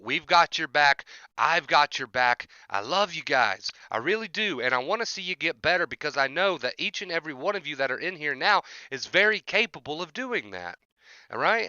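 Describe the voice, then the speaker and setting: A man's voice: a little high, pushed and bright, smooth, no room sound, highs cut off. A young man hosting a motivational livestream, speaking emphatically and informally with lots of repetition, his voice a little tired from overuse. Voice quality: voice tiring from overuse. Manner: emphatic, repetitive, often checks with "right?". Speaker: livestream host, anxiety recovery coach. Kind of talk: motivational rant to live chat.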